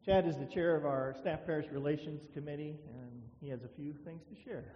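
A man's voice: a little high, a little fast. A male pastor giving an informal welcome at the start of a church service. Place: inside a church. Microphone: church microphone. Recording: muffled, echoey church hall sound.

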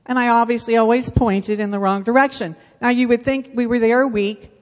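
A woman's voice: on the high side, steady tone, fairly quick. A middle-aged woman leading a church Bible school, speaking casually into a microphone and telling self-deprecating stories. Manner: chatty, self-deprecating storytelling. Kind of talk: casual church announcement and talk. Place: church sanctuary. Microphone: handheld microphone. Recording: long reverb of a church hall.

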